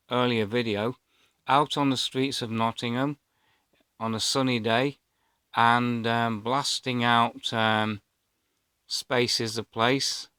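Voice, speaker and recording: A man's voice: mid-range voice, fairly bright tone, clear, resonant tone, no echo. A man, telling a personal story casually to camera in a hesitant, rambling way. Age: 50s. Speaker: vlogger.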